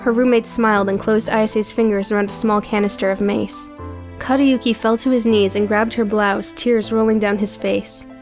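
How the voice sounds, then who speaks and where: Woman narrating an audiobook, medium pitch, music playing behind her. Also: little pitch movement, normal speed, a little mellow. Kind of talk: scripted narration.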